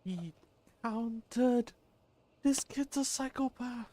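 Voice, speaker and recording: A woman's voice: fairly high pitch, extremely slow, no echo. A woman streamer joking and reacting casually to a game story. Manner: joking, excitable.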